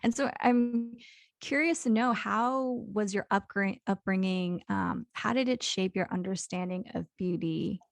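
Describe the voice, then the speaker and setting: A woman's voice: mid-range voice, a little slow, creaky, no echo. A woman hosting a podcast in casual interview chat, chatty and curious with leading questions. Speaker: podcast host.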